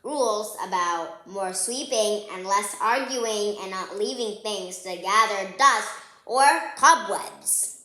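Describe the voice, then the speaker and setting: A woman's voice: medium pitch, slow pace, pushed and bright. A woman voicing an orderly mother bear from a storybook, with a firm, instructive manner. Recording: echoey room.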